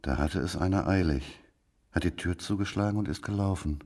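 An actor playing a brisk, persistent police detective with short, probing questions in a German radio drama. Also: male voice, deep voice, darkish tone, far from the mic. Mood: probing, sceptical.

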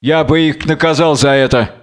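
Мужчина читает за надменного спокойного воина. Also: male voice, on the high side, fairly even intonation, normal speed, clear, resonant tone, natural voice. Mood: cool disdain. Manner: cool, terse. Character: tall, haughty, calm Philistine warrior. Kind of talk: dramatic reading of a biblical tragedy.

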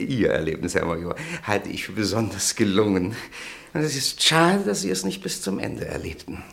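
A theatrical agency director in a radio play, suave and proud of his trade. His voice is middling in pitch with a gravelly creak, and he delivers florid, courteous sales talk with lively swings. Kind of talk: German radio drama acting.

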